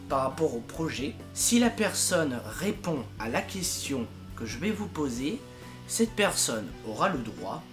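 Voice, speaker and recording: Halting, casual man over music. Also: a little high. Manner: halting, rambling, informal. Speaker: amateur fandub project leader and YouTuber. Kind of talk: casual, unscripted video update to followers.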